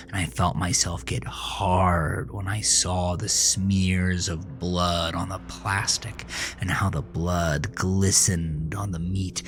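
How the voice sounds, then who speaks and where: Horror podcast lead: troubled teen boy narrator, lowish, slow, brooding confessional delivery over a music bed. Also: darkish tone. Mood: entranced, hungry. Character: troubled teenage boy narrating his own horror tale. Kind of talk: dramatic first-person horror audio narration.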